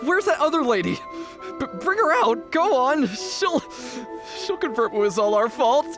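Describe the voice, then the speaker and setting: A woman's voice: sits high, big pitch swings, moderate pace, over music. A woman voicing a timid, earnest young gang member in a video game, hesitant and stumbling. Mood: urgent. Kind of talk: scripted video game voice acting.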